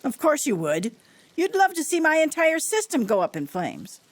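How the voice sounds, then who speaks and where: Woman acting imperious, animated, scolding matriarch. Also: high-pitched, moderate pace, dry recording. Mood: accusing, indignant. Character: imperious, indignant society hostess, an accusing grandmother. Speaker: actor in an audio drama. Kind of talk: theatrical period murder-mystery acting.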